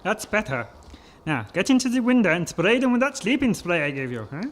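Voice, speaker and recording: An actor playing a bossy, short-tempered German baron villain in a comic radio play, with a German accent and a theatrical, scolding delivery. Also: male voice, sits high, sing-song, brisk, fairly bright tone. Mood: scheming, bossy.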